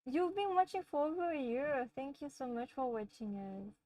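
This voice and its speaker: A woman from France with a French accent, hosting a livestream and chatting casually with viewers in a gentle, easygoing way, often laughing. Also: sits high, lively intonation, normal speed, no room sound, recorded from a distance. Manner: gentle, chatty, laughs often, talks softly to her pets. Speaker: livestream host. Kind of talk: casual live chat answering viewers.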